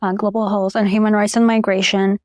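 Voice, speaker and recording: Soft-spoken young woman from Iraq. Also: medium pitch, level tone, brisk, dry recording. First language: Chaldean. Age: young adult. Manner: soft-spoken, reflective, frequent "like" and restarts. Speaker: guest, public health researcher. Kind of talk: interview conversation.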